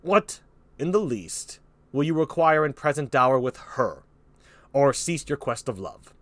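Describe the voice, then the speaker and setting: Old tragic king, played by a man: wrathful, imperious, slow emphatic delivery, very animated intonation. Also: mid-range voice, no room sound. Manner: slow, emphatic declamation with pauses.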